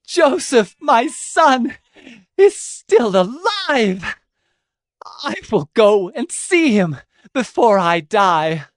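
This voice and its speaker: Aged devout father, animated high voice, fervent, scripture drama, dry sound. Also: extremely slow, dry recording, exaggerated voice. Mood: moved, fervent. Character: aged patriarch Jacob, emotional, devout father.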